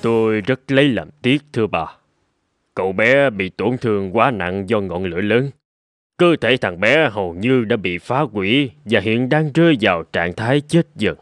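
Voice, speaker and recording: A man's voice: fairly low pitch, expressive, moderate pace, no room sound, soft background music, natural voice. A man playing an older doctor in a cartoon, formal and sympathetic in manner. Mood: solemn. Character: solemn, older bearded male doctor.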